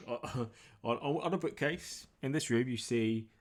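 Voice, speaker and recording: A man narrating a tabletop role-playing game as game master, describing things in a halting, improvised, conversational way. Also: mid-range voice, crowd noise.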